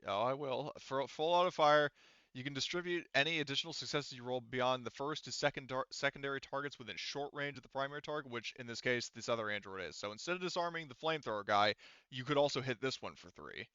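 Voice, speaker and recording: A man's voice: medium pitch, quick pace, pushed and bright, clear, resonant tone, no room sound, muffled recording. A man running a tabletop roleplaying game as game master, explaining rules casually and thinking aloud.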